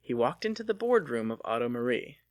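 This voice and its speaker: A male narrator reads a story dramatically. His medium-pitched voice moves expressively up and down, and the recording is very dry with little room sound. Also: sing-song, fairly quick, no room sound. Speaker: audiobook narrator.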